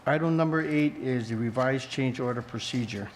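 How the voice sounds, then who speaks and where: A man's voice: mid-range voice, moderate pace, a little hiss or hum. A man chairing a formal board meeting, moving through procedure in a businesslike way.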